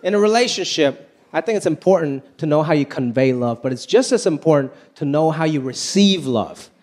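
A man in his thirties from Hong Kong performing a stand-up comedy set on a theater stage into a handheld mic, animated and swinging his pitch for punchlines. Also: a little fast. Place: large theater stage with live audience. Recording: live show with faint crowd and room noise.

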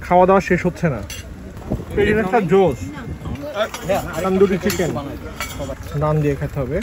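A man's voice: high-pitched, moderate pace, crowd noise. A man talking casually as a food vlogger, showing and naming things as he goes. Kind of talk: casual vlog talk. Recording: loud music behind the voice. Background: loud music.

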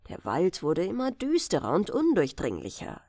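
A woman narrating a fairy tale as an expressive bedtime audiobook reading. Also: lowish voice, normal speed, no room sound, clean studio sound, faint music behind.

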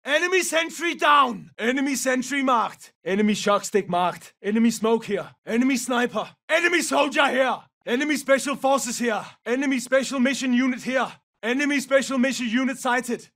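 A battle-hungry male mercenary for a military shooter game. His voice is very high-pitched for a man, gritty and hard-edged. He barks short, clipped combat callouts with gruff force. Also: a bit bright, distant mic, exaggerated voice. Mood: urgent, shouting. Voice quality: gravelly.

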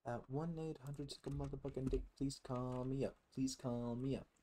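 A man's voice: medium pitch, steady tone, moderate pace, mellow, a little hiss or hum. A man giving casual, sweary game commentary, muttering to himself as he plays. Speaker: gaming streamer.

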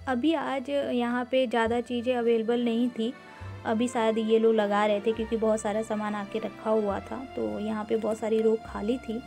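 A woman giving an unhurried, casual walkthrough of a grocery store, filming on a handheld phone and mixing English words into her talk with frequent "uh" fillers. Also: on the high side, flat delivery, creaky, a little mellow, soft background music. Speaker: vlogger touring a grocery store.

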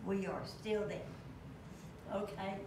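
A woman's voice: mid-range voice, vocal fry. An older woman giving a memorial tribute to a classmate from a church pulpit, storytelling with chuckles. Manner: slow, warm storytelling with chuckles. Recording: echoey church, distant mic, room noise.

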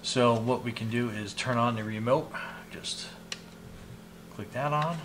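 A man in his fifties giving a casual, unhurried product demonstration, explaining each step informally as he goes. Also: medium pitch. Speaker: product reviewer demonstrating gadgets on his channel. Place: small indoor hobby room at a desk. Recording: clear background noise, clicks of handled items.

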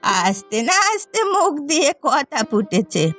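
A grumbling old village matriarch played by a woman in a cartoon drama, high in pitch and full of reproach. She scolds like a wronged mother over a bed of music. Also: big pitch swings, takes it slowly, fairly bright tone, exaggerated voice. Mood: scolding. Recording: music behind the voice.

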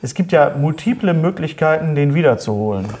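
A middle-aged man hosting a casual interview and reading out questions, often halting and repeating words. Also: fairly high pitch, animated, darkish tone. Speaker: talk show host. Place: indoor studio set at a table.

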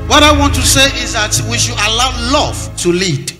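A man's voice: very high voice, animated, moderate pace, over music. A man with a Ghanaian accent, an archbishop speaking to a church congregation, emphatic and switching between English and Twi.

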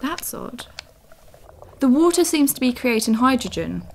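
A woman's voice: high-pitched, big pitch swings, a little slow, vocal fry, darkish tone, a little hiss or hum, full character voice. A young woman acting an anxious, determined daughter in a science fiction audio drama. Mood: earnest, excited.

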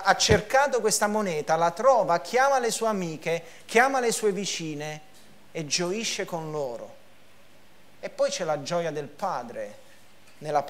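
A man in his thirties preaches from a lectern in a church hall, with a rather high voice. He stresses points by repeating words and gesturing as he reads passages aloud. The clip-on mic keeps him close and clear. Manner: emphatic preaching with repetition and gestures. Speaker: pastor. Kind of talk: sermon. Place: church hall, in front of a projection screen.

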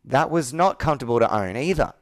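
A man in his thirties speaks in a smooth, resonant voice with a dry, close sound. He talks like a coach on a sales call, earnest and persuasive. He leans on personal stories and rhetorical questions to make his point. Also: medium pitch, expressive, quick pace, no room sound. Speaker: business coach. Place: indoor room with whiteboard, video call.

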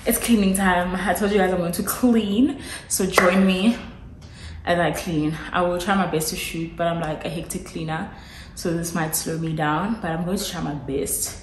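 Young female student vlogger, slightly low-voiced, unhurried and informal, rambling chat with audible background noise. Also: steady tone. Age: young adult. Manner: informal, rambling, repeats phrases for emphasis. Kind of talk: casual vlog chat.

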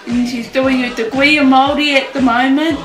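A woman acting a proud, absent-minded elderly New Zealand grandparent in a comedy sketch, halting and repeating words, in an echoey room. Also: sits high, fairly even intonation, over music, voice pushed up, natural voice. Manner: slow, halting, repetitive.

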